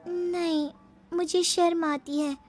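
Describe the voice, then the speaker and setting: A woman's voice: extremely high pitch, level tone, slow pace, darkish tone, faint music behind, pitched above the performer's own voice. A woman voicing a coy, cheeky young bride who justifies herself in a moral story. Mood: shy.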